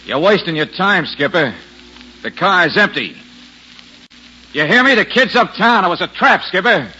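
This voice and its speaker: A confident, wry private eye in a fifties radio mystery. His delivery swings widely and brightly, brisk and full of wisecracks, on a dull old recording with faint noise. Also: high voice, expressive, husky, full character voice. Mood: taunting. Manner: brisk, wisecracking, self-assured. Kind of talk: 1950s radio detective drama acting.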